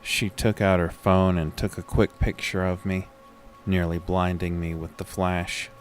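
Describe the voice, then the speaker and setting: Very deep, slow, groggy horror narrator. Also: male voice, level tone, faint music behind. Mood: grim narration. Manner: slow, measured, weary. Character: sick, groggy man narrating his own horror story. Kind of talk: first-person scripted horror narration with acted dialogue.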